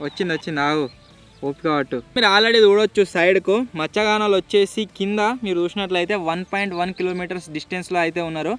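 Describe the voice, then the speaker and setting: Fast, high-pitched Telangana Telugu man. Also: fairly bright tone, smooth, soft background music. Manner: informal, slangy, bantering. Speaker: video host. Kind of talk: casual vlog chat.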